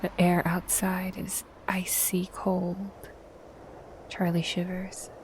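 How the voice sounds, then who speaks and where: Low, even-toned woman narrating slowly. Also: a little hiss or hum. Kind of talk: scripted audio drama narration. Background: faint music.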